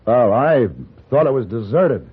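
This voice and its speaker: An actor playing a calm, wry American gunfighter in an old-time radio western, measured and plain-spoken in narration and dialogue. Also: male voice, medium pitch, heavy background noise, natural voice. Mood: cautious, probing. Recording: tinny old radio broadcast with music.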